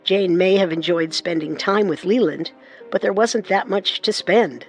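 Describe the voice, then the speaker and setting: A man narrating a true-crime radio drama in a measured, dramatic scripted style. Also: high-pitched, soft background music.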